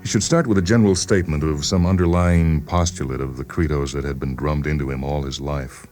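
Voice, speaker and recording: A man narrating a literary short story in a deliberate, brooding way with dramatic pauses. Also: extremely low pitch, steady tone, darkish tone. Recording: music behind the voice. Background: music.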